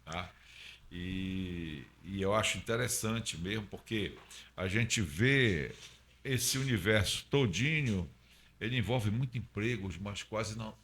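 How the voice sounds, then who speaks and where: A man's voice: fairly low pitch, slow, fairly bright tone, slight background noise. A man hosting a podcast interview, affirming and summing up what his guest says. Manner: affirming, thanks and sums up the guest. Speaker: podcast host.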